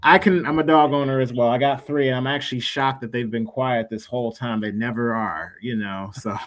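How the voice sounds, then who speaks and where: A man with a mid-range voice hosts a livestream in a casual, chatty style. He rambles along encouragingly, often pausing to search for the right word. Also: medium pitch, fairly even intonation, a little hiss or hum. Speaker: livestream show host. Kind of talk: casual livestream interview chat.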